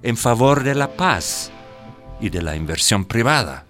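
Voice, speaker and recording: A man's voice: mid-range voice, very animated, a little slow, a bit bright, faint music behind. An actor playing a scheming foreign mining company manager who slips phrases into his speech, in a radio drama. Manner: sly, smug, drops English phrases.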